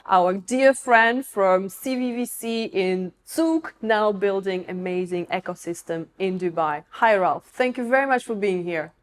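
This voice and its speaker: A woman's voice: medium pitch, animated, measured pace, few pauses. A woman hosting an interview, upbeat and welcoming as she asks her questions. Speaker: interviewer, host.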